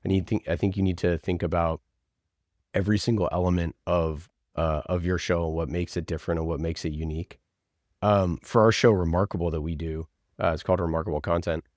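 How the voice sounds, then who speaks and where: A man with a low voice talks casually as a podcast guest. He is passionate and emphatic, often posing rhetorical questions to press a point. The sound is dry, with very little room in it. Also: fairly even intonation, brisk, a little mellow, dry recording. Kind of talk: casual conversational interview talk.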